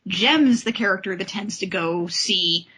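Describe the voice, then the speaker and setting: A woman hosting a podcast, chatting casually and with giggly enthusiasm about comics in a husky voice. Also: medium pitch, moderate pace, sharp tone, slight background noise. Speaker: podcast host. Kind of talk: casual comic book discussion.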